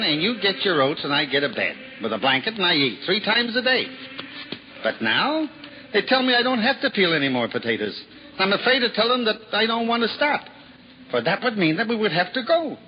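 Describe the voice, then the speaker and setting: A man's voice: sits high, big pitch swings, brisk. A man in a 1950s radio drama playing a simple, humble traveling juggler who talks to himself in wonder. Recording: lo-fi old radio broadcast, faint hiss.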